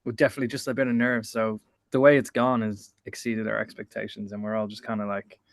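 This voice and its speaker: A man's voice: on the low side, little pitch movement, quick pace, vocal fry, no echo, clean studio sound. A man answering interview questions over a video call, easygoing, often trailing off. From Ireland. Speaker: band member, guest.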